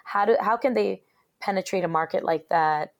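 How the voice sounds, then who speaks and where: Gravelly-voiced woman interviewer. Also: mid-range voice, moderate pace, dull audio. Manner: warm, affirming, frequent backchannels. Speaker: interviewer, host. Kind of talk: conversational interview.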